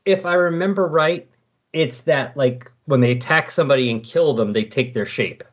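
A man talking casually and loosely on a comics podcast, thinking aloud as he explains, with a slightly husky voice. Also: medium pitch, normal speed. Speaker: podcast co-host discussing comics. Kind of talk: casual, rambling comics discussion. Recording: dull lo-fi voice recording.

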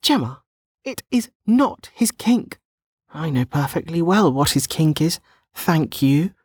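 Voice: mid-range voice, sing-song, slow pace, a little mellow, slightly rough, no echo, studio quality, pitched above the performer's own voice, heavily acted. A narrator voicing a flustered, indignant young woman who protests bluntly, in an audiobook. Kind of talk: audiobook character dialogue.

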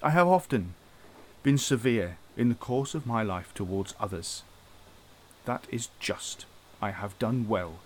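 A man's voice: lowish voice, animated, measured pace, a little hiss or hum, low-quality recording. An actor playing a stern, rigid male police inspector with formal, severe speech. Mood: impassioned. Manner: formal, severe, self-condemning declamation. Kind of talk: radio drama acting.

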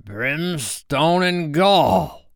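A man voicing a proud, villainous pirate captain in a storybook, with grandiose, theatrical, drawn-out delivery. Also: medium pitch, big pitch swings, smooth, dry recording, clean studio sound. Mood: furious. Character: proud male pirate captain, blustering villain turning shaken.

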